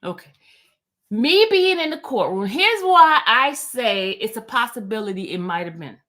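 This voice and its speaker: A New woman hosts a casual livestream in an animated, chatty way, often wandering off topic and answering her viewers directly. Her voice sits somewhat high shows. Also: moderate pace. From New York. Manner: animated, chatty, digressive, talks straight to chat. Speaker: YouTube livestream host. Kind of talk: casual livestream chat reading viewer comments.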